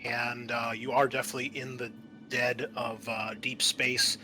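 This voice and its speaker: Bright-voiced man running a tabletop game. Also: medium pitch, flat delivery, soft background music. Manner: halting, conversational. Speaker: game master. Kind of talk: improvised tabletop game narration.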